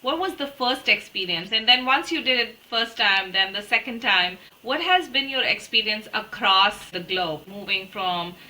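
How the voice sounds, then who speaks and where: A woman's voice: medium pitch, normal speed, few pauses, sharp tone, poor audio. A woman hosting a podcast, affirming her guest and stringing several questions together. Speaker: podcast host. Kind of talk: interview questions.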